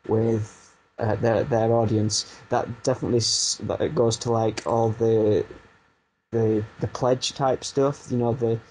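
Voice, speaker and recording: A man's voice: medium pitch, mellow, slight background noise, low-quality recording. A man in his thirties with a Barnsley Yorkshire accent, a music producer turned coach, chatting casually in an interview and talking in loose, self-deprecating restarts. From Barnsley, England. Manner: rambling, self-deprecating, frequent restarts and fillers.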